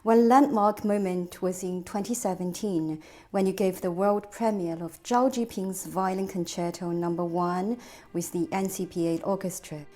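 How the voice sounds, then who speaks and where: Mellow-voiced woman interviewer asking formal questions. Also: fairly low pitch, steady tone. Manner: measured, formal.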